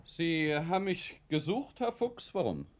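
Agitated guilty factory owner, swinging wildly. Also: male voice, fairly high pitch, big pitch swings, a little slow. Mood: evasive, uneasy. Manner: nervous, blustering. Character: agitated guilty factory owner, former army lieutenant, evasive and domineering. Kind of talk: German radio play acting.

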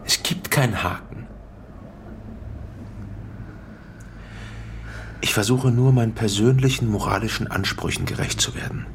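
An actor playing a composed, conciliatory male mayoral candidate with a measured, businesslike manner in a naturalistic radio crime drama. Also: fairly low pitch, a little hiss or hum, close to an everyday voice. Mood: controlled, earnest. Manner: matter-of-fact, measured, businesslike.

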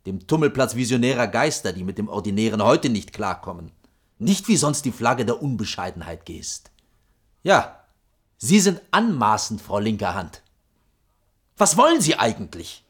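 A stern, dry male bureaucrat with a rough-edged, husky voice. His pedantic, clipped admonitions swing widely in pitch, in the manner of 1980s radio drama. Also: very animated, moderate pace. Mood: sarcastic, reproving. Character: stiff, dutiful male bureaucrat, stern and dry senior colleague. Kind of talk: 1980s East German radio drama acting.

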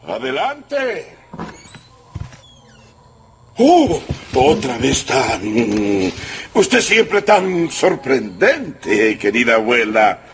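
An actor playing a pompous, easily flustered Russian general in a radio drama, effusive and stammering. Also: male voice, medium pitch, huge pitch swings, drawn-out words, long pauses, background noise, exaggerated voice. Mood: effusive, flattering. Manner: effusive, stammering when indignant.

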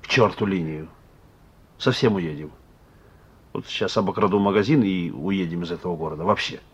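A man's voice: low-pitched, moderate pace, breathy edge. A male actor in a radio play as a sullen, wry young thief, curt and slangy, on a muffled recording. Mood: gruff, tender. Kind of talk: Russian radio play acting.

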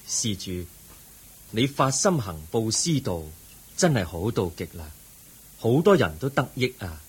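Radio drama: benevolent Buddha, very husky, lively intonation, kindly solemn delivery, Hong Kong Cantonese. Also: male voice, mid-range voice, a little slow, full character voice. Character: serene, benevolent Buddha on his journey.

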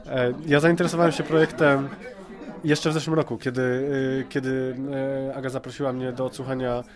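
A man's voice: medium pitch, little pitch movement, brisk. A man giving a casual interview, hesitant and often repeating words, with a tongue-in-cheek streak. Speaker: amateur voice actor being interviewed. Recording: busy crowd chatter behind. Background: crowd chatter.

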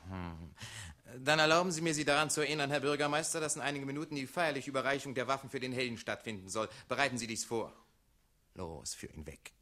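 A man's voice: medium pitch, expressive, quick pace, sharp tone. A man acting a calculating, domineering young official, the mayor's son, in a radio play, commanding and self-important. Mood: curt, formal.

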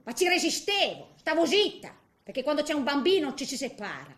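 A woman's voice: high-pitched, huge pitch swings, a little fast, fairly bright tone, heavily acted. An actress playing a feisty, bitter, garrulous widow in her eighties in a theatrical comic monologue, grumbling colloquially in with little interjections. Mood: bitter, resigned. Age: 80s.

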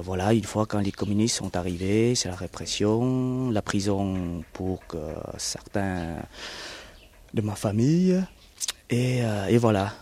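A man from South Vietnam speaking, recounting his past in an interview with frequent hesitant pauses and fillers. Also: on the low side, dark tone. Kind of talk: recounting personal history.

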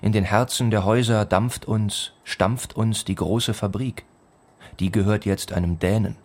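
An actor playing a brooding village man, narrating a radio play in measured, fragmentary, poetic phrases. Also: lowish voice, fairly even intonation. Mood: brooding, wry. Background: music.

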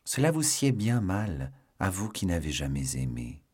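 A man's voice: low-pitched, big pitch swings, a little slow, a little mellow, breathy edge, exaggerated voice. A man voicing an eager, loyal young swordsman, earnest and commanding, in an adventure story. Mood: coaxing.

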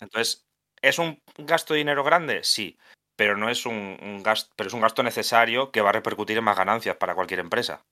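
A man with an Asturian Spanish accent talks through video game translation on a podcast, often restarting and correcting himself as he thinks. His voice sits on the low side but has a bright, forceful edge. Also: fairly quick. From Asturias, Spain. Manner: thoughtful, many restarts and self-corrections. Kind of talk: casual podcast conversation.

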